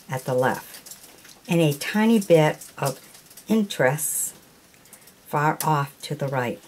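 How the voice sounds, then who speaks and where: A woman showing an auction find at a table, reading its printed text aloud slowly and deliberately, with small slips. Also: low-pitched, slight background noise, low-quality recording. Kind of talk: casual show-and-tell, reading a print aloud. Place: indoors at a table.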